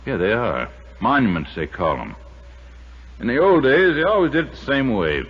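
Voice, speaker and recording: An actor playing a savvy, folksy American desert guide in a 1950s radio drama, telling things in an unflappable, colloquial way. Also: male voice, medium pitch, big pitch swings, husky. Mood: yarn-spinning. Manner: folksy, colloquial, drawn-out storytelling. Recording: muffled vintage radio recording with faint hiss.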